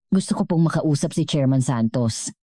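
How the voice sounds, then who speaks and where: A woman's voice: sits low, animated, normal speed, dark tone, no echo, studio quality. A woman voicing a humbled, remorseful adult son in a dramatic story, respectful and earnest as he pleads with his mother. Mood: remorseful, pleading.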